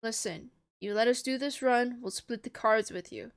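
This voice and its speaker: A woman voicing a tough, blunt female smuggler with a terse, forceful manner in a story reading. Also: a little high, normal speed, smooth, lightly acted. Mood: bargaining.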